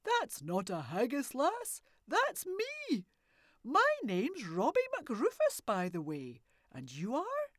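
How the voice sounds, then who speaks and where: Woman doing a loud old forest hermit. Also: huge pitch swings, takes it slowly, no room sound, studio quality, full character voice. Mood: jovial. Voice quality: booming, loud.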